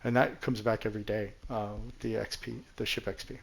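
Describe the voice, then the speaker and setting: A man's voice: on the low side, level tone, a little fast, gravelly, husky. A man from New Mexico giving chatty, self-deprecating commentary over his own gameplay as the host of a gaming channel.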